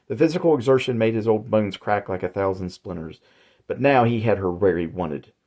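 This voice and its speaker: A man narrating his own horror story in a measured, deliberate way. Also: on the low side, mellow. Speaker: author and narrator.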